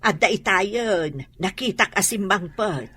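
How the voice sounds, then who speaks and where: A woman's voice: mid-range voice, expressive, measured pace, bright, forceful tone, slightly rough, exaggerated voice. An actress with an Ilocano accent playing a practical, scolding older mother in a radio drama. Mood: attentive, explaining.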